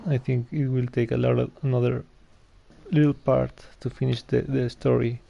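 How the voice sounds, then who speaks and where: A man's voice: mid-range voice, little pitch movement, normal speed, creaky, slight background noise. A man giving casual gameplay commentary, hesitant, often repeating words and trailing off. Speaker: gaming YouTuber. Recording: dull lo-fi mic with faint game music.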